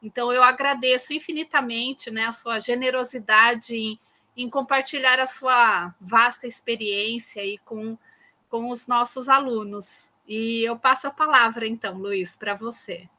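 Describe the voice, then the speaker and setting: Woman lecturer host, higher pitch, crisp bright voice, chatty "né" tags, video call. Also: fairly even intonation, measured pace. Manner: warm introducer, constant "né" tags. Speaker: university lecturer hosting a guest. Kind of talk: online class conversation.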